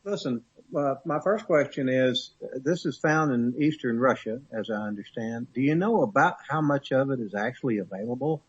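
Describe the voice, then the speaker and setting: A man's voice: mid-range voice, lively intonation, normal speed, few pauses, breathy edge, no echo, muffled recording. A man asking a radio guest questions in a curious, musing way. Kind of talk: conversational questions.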